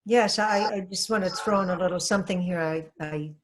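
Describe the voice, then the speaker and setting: A woman's voice: fairly low pitch, a little fast. An older woman from Trinidad, a hypnotherapist guest, speaking earnestly and reflectively in a casual interview, with frequent fillers.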